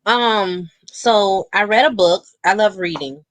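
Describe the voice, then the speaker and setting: A woman speaking as a guest author in a candid, casual interview, with an expressive, colloquial and emphatic way of talking. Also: medium pitch, takes it slowly, dry recording.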